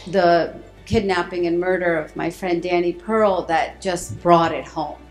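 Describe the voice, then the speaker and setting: A woman's voice: on the low side, steady tone, unhurried, over music, rough recording. A woman giving personal interview testimony with emphatic phrasing.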